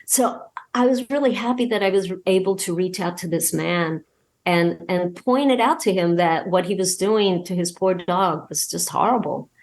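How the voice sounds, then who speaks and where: A woman's voice: fairly low pitch, normal speed, darkish tone. A woman speaking as a podcast guest, telling things in a casual, earnest, conversational way. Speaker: podcast guest, audio drama creator. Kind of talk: casual interview anecdote.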